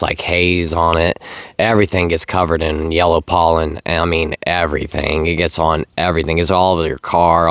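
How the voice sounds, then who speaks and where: A middle-aged man who is tired and losing his voice, talking casually and haltingly to camera in a vlog. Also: extremely low pitch, vocal fry, dry recording, clean studio sound. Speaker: sobriety vlogger. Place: outdoors.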